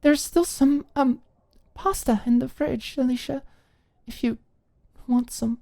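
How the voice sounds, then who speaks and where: A shy young woman in an audio romance, acted by a female narrator. Her voice is pitched high and trips over itself, embarrassed and pleading, as she tries to explain herself. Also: fairly even intonation, a little slow, creaky, dark tone. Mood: awkward, composed. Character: flustered, shy, apologetic young woman caught kissing.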